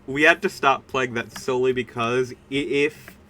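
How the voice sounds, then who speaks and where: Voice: mid-range voice, steady tone, normal speed, sharp tone, slight background noise. A streamer chatting casually during a game livestream in a rambling, informal and emphatic way. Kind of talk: casual livestream chat while gaming.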